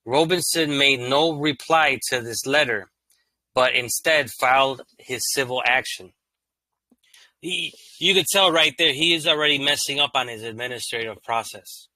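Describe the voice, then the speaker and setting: Brief: male host, bright and sharp tone, reads legal text flat then drops into loose slang asides, monotone by his own account. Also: mid-range voice, unhurried, no echo, low-quality recording. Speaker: channel host reading and commenting on court cases. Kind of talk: casual read-aloud commentary.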